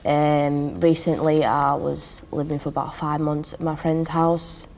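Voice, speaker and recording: A woman with a Latvian accent answers in casual, hesitant phrases. Her voice is notably low and stays flat in pitch, and there is slight background noise on the recording. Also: unhurried. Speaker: housing tenant in a peer interview. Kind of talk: casual interview chat.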